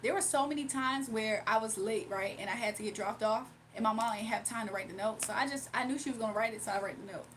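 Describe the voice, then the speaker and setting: A woman's voice: on the high side, quick pace, few pauses, fairly bright tone, slight background noise. A young woman streaming live, chatting casually with her viewers, laughing often and reading out chat messages.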